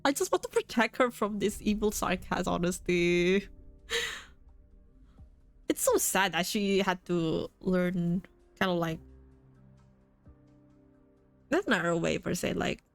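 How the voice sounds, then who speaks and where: A woman streamer chatting casually in a soft, drawn-out way while reading a game story, with faint music behind. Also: lowish voice, lively intonation. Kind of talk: casual live-stream commentary.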